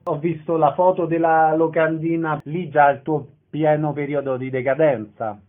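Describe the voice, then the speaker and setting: A man hosts a radio theatre show with a voice a little above the usual male pitch. He asks short, friendly questions. The sound is narrow and phone-like. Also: fairly even intonation, moderate pace. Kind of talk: casual radio interview.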